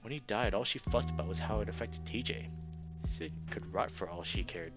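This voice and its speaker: A man plays a young male reptile from a visual novel with a grudge and a short temper. His voice is fairly low, blunt and defensive, over clearly audible music. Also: fairly quick, natural voice. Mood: bitter. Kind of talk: visual novel character read-aloud.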